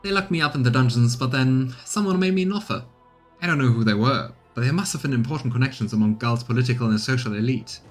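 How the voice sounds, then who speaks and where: A man's voice: medium pitch, fast talker, soft background music, close to an everyday voice. A man voicing a bitter, defeated male assassin from a fantasy game, confessing his past resentfully.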